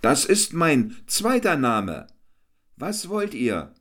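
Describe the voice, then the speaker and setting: A cheeky, hungry jester, put on by a male storyteller, whining and teasing in a high sing-song voice. His pitch leaps all over the place, and he stretches his rhymes out slowly. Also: big pitch swings, a bit bright, heavily acted. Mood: jolly.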